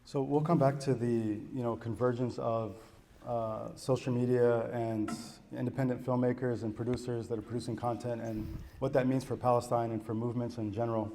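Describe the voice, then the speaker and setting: Mellow man moderating a panel. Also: medium pitch, flat delivery, a little fast, hardly any pauses, a little hiss or hum. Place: conference hall.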